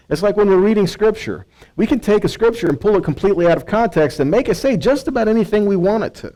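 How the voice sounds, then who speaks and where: A man's voice: on the high side, darkish tone, low-quality recording. An American man preaching in a conversational teaching style, often posing rhetorical questions and checking in with his listeners. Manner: conversational, rhetorical questions, frequent check-in tags like right and okay. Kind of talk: sermon-like Bible teaching, reading verses and explaining.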